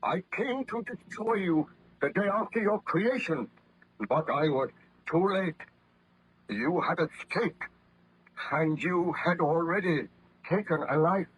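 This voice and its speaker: An actor playing a haunted, fearful baron scientist in formal, melodramatic 1930s radio drama style. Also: male voice, fairly high pitch, expressive, slow pace, breathy edge, heavily acted. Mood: anguished, pleading. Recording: muffled vintage radio recording.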